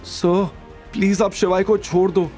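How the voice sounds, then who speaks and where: A man's voice: high voice, level tone, a little slow, warm tone, faint music behind, voice pushed up. A man voicing a distressed, pleading young woman in an audio drama, polite and imploring. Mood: anxious pleading. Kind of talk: audio drama character acting.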